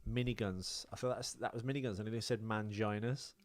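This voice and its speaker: A man's voice: lowish voice, steady tone, a little fast, slight background noise. A man co-hosting a podcast, chatting casually about films and drifting off into rambling tangents. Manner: rambling, easily sidetracked storyteller. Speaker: podcast co-host. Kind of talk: casual film trivia chat.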